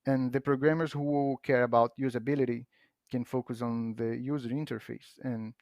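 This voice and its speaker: Portuguese-native man, podcast guest, patient and halting, dry recording. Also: mid-range voice, fairly even intonation, a little mellow, dry recording. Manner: patient, halting with frequent restarts and fillers. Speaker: podcast guest explaining software. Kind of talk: casual explanatory chat.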